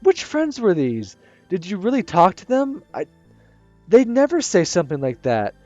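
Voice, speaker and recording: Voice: medium pitch, wildly swooping pitch, normal speed, a little mellow, dry recording, dull audio, soft background music, pitched above the performer's own voice. A gamer reading a visual novel aloud, voicing an earnest, caring teenage schoolboy who speaks urgently. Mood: reassuring. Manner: urgent, insistent.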